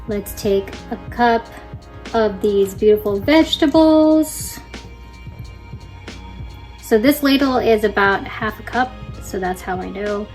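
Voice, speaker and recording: A woman's voice: mid-range voice, takes it slowly, long pauses, a little mellow, faint music behind. A young Filipino-American woman, a native Tagalog speaker, cheerfully talking viewers through a cooking demonstration step by step. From Philippines. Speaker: cooking channel host.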